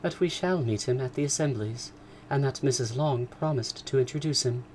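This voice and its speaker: A man voicing a calm, sensible young woman, lifted a little above his own pitch. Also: moderate pace, a little hiss or hum, lightly acted. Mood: reasoning.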